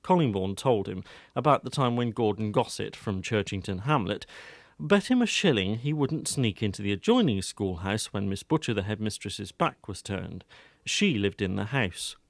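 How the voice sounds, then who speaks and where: A man's voice: lowish voice, animated, moderate pace, creaky, dry recording, clean studio sound. A man reading scripted narration for an audiobook drama as its storyteller.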